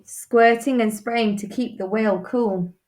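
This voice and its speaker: A woman's voice: on the high side, steady tone, a little slow, a little mellow, slight background noise. A woman narrating a rhyming picture book expressively, as a teacher reads to children. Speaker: teacher reading a storybook to children.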